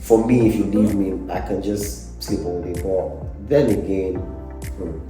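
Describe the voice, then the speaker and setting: A young adult Nigerian man, a guest chatting in a relaxed, thoughtful way with soft laughs. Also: low-pitched, takes it slowly. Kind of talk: casual interview chat. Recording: echoey room, mic at a distance, faint music.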